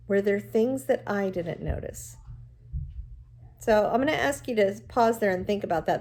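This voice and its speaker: Lady doing a classroom read-aloud, expressive storyteller, medium pitch. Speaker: teacher reading a novel aloud to students. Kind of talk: read-aloud narration with teaching asides.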